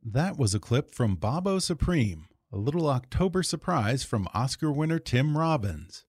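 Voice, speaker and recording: A man's voice: mid-range voice, very animated, moderate pace, a little mellow, slightly rough. A man hosts a podcast in a mid-range voice. He reads scripted introductions close to the microphone. The sound is very dry, with no room echo. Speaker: podcast host and interviewer.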